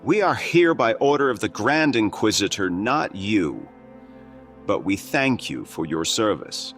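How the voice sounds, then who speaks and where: Audio drama villain: accusing, preachy priest with a lowish voice and deliberate, condescending delivery. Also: male voice, very animated, a bit bright, soft background music, exaggerated voice. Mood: cold accusation. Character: menacing priest inquisitor, gaunt, accusing, condescending.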